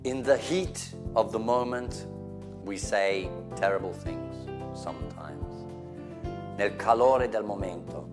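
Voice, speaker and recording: A man teaching English to Italians in an animated way, acting out examples and switching between English and Italian. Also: on the low side, slow pace, over loud music. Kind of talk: language lesson for Italians.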